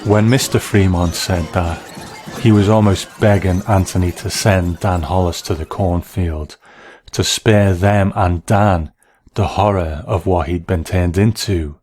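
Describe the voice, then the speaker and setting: A man delivering a measured, scripted video-essay commentary as narrator and critic. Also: sits low, fairly even intonation, a little mellow, a little hiss or hum. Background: music.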